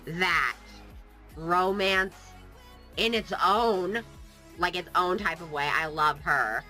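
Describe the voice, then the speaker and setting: Sniffly gamer vlogger with a cold, super bright voice, jokey and rambly, desk mic. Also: medium pitch, slow, faint music behind. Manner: playful, jokey, sarcastic, drifts off topic. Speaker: gaming YouTuber. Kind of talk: casual rambling vlog chat.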